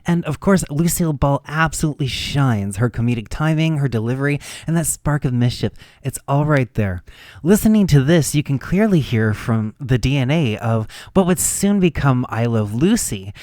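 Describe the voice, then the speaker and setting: A man speaks at a middle pitch in a dry, echo-free recording. He presents scripted commentary as the host of a classic radio show. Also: lively intonation, normal speed, darkish tone.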